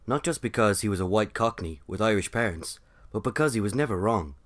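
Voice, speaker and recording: A man's voice: lowish voice, expressive, fairly quick. A man hosting and narrating a story podcast, reading from a script.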